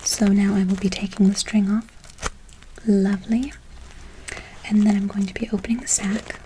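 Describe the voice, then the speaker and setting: A woman's voice: mid-range voice, level tone, normal speed, background noise. A woman whispering an ASMR roleplay as a soothing witch, lingering on repeated words and endearments. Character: soothing witch casting a good luck spell. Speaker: ASMR performer.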